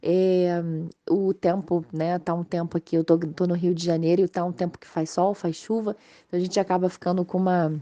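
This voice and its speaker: A Brazilian woman with a slightly hoarse voice from a mild cold, giving an informal, explanatory religious study talk to viewers. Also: lowish voice, little pitch movement, fairly quick, mellow, dull audio. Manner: explanatory, conversational, checking in with tag questions. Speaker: host of a spiritist study channel.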